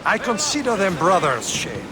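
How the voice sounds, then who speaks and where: A man's voice: high-pitched, normal speed, crowd noise. A man playing a scornful, haughty seafarer in a video game, mocking and theatrical in delivery. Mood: assured. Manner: mocking, animated. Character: haughty, scornful French male Assassin seafarer. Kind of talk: video game dialogue acting. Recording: noisy outdoor harbour ambience behind.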